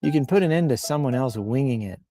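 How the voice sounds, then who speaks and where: A man's voice: medium pitch, lively intonation, quick pace, over music. A man hosting in a casual, improvised comic style, playfully reading posts aloud and rambling off into asides. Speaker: host reading and riffing on social media posts.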